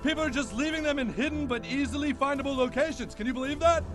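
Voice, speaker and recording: An actor playing an excitable, greedy cartoon dad who shouts and gets giddy about getting rich, over game music. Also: high voice, fast talker, lightly acted. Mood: frantic. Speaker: father.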